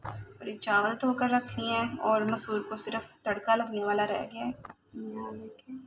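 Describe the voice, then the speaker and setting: A woman's voice: a little high, unhurried, creaky. A woman vlogging at home, casually explaining her chores and cooking to viewers. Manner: relaxed, explanatory, addresses viewers directly. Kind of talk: casual chat narrating daily chores and cooking. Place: home kitchen, indoors. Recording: noisy home recording.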